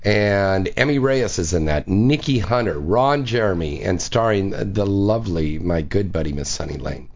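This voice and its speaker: A low-pitched man hosts a late-night call-in radio show. His voice rises and falls a lot as he breezes through event plugs with plenty of "um"s. The audio is dull and lo-fi. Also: expressive, normal speed, gravelly, few pauses. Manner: breezy, promotional, says "um" and "uh" often. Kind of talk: casual call-in radio chat. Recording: dull, lo-fi sound.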